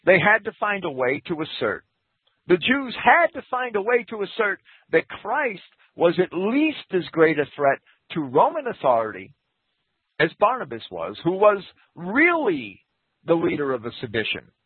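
Male scripture teacher, husky, animated pitch, dry thin radio sound. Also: a little slow, no room sound. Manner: lecturing, reads quotes aloud, lively pitch. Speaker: Bible teacher. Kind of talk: scripture commentary talk. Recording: tinny phone-like radio broadcast.

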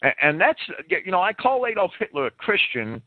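A man's voice: sits high, big pitch swings, moderate pace, dry recording. A man in an opinionated radio-style discussion, partly reading prepared commentary, who often stammers and repeats words as he starts a phrase. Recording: band-limited, lo-fi internet radio sound.

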